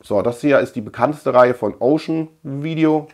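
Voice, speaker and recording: A man's voice: medium pitch, moderate pace, darkish tone. A man chatting casually as a video host, rambling colloquially and repeating words for emphasis. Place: small room at home lined with shelves.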